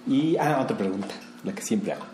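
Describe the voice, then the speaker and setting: A man's voice: fairly low pitch, lively intonation, a little fast, crowd noise. A man hosting an interview in a casual, chatty way, often hesitating and restarting his sentences. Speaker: podcast host, interviewer. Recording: echoey room, faint ambient noise.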